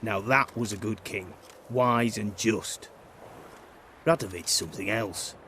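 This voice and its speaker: An actor playing a plain-spoken, quietly disillusioned soldier on guard in a fantasy video game, talking in blunt, clipped, colloquial phrases. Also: male voice, lowish voice, a little slow, slight background noise. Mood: resigned, bitter.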